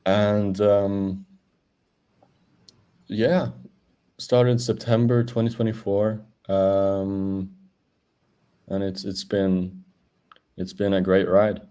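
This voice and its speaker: Low-voiced man in his twenties. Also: smooth. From Norway. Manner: relaxed, halting, many fillers. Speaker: guest. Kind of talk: casual interview chat. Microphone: video call.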